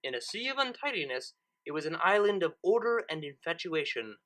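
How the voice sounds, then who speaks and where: A man narrating a story in an expressive storybook style. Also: a little high, a little fast. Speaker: narrator reading fan fiction, channel host.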